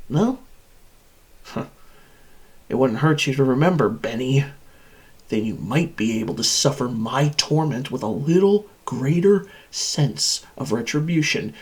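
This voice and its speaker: A man's voice: medium pitch, husky, exaggerated voice. A man voicing an insane, sadistic supercomputer that hates its human captives, in a theatrical and menacing villain monologue. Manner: theatrical, menacing, swinging from whispers to screams.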